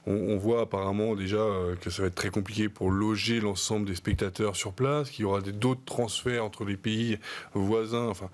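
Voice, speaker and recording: A man answering interview questions in a TV studio, hesitant and repeating his words. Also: fairly low pitch. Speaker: guest, founder of an organization.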